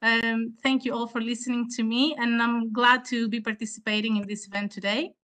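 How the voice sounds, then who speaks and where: A woman's voice: fairly high pitch, little pitch movement, brisk, fairly bright tone. A woman whose first language is Greek, giving a formal, earnest research presentation in English at an online conference, with frequent hesitations. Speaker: award-winning student presenter.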